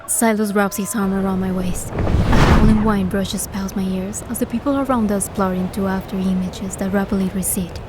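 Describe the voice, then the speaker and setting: A woman voicing a bold, curious young heroine, with expressive storytelling delivery in a fantasy game. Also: mid-range voice, normal speed, music in the background, lightly acted. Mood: narrating, hushed wonder. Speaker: fan dub voice actor and narrator. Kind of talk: scripted first-person fantasy game narration and dialogue.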